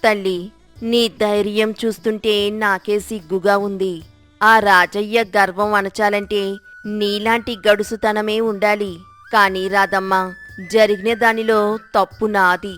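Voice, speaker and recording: A woman's voice: medium pitch, level tone, takes it slowly, a bit bright, clear, resonant tone, faint music behind. A woman voicing a remorseful, fretful older village aunt in a storybook tale, anxious and beseeching. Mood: contrite pleading. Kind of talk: storybook character dialogue.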